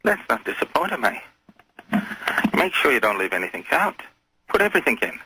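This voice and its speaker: A man calling in to a radio show, talking in a folksy, calmly menacing way. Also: medium pitch, fairly quick, slightly rough, dry recording, poor audio. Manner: folksy, calmly menacing, addresses others as lad and lassie. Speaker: phone-in caller. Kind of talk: casual conversational radio call.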